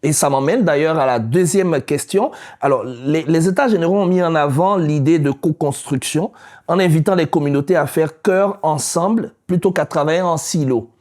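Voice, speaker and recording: Franco-Ontarian male journalist, measured. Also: on the high side, a little mellow. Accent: Franco-Ontarian French. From Ontario, Canada. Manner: measured, formal, with some stammered restarts. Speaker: journalist, interviewer. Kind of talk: interview questions.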